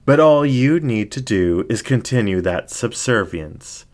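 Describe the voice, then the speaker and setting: A man voicing a seductive, commanding male fox demon ringmaster in a story reading, slow, grandiose and coaxing. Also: medium pitch, animated, lightly acted. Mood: menacingly seductive.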